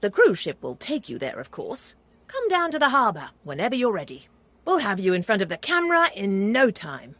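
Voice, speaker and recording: A woman's voice: medium pitch, huge pitch swings, brisk, fairly bright tone, dry recording, exaggerated voice. A woman playing a hearty, friendly female otter ship captain in a children's cartoon game. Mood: cheerful, inviting.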